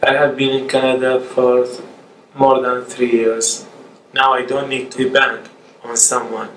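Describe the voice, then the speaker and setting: A middle-aged man, speaking haltingly in a documentary interview. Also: medium pitch, monotone, fairly bright tone. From Iraq. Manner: halting, hesitant delivery. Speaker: immigrant interviewee. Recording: roomy room, distant mic, faint noise.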